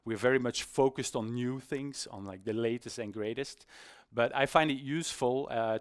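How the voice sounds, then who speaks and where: A middle-aged man giving an informal conference presentation in a conversational, self-deprecating, slightly halting way. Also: fairly low pitch, smooth. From Belgium. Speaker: conference speaker, iOS developer. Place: conference stage in a hall.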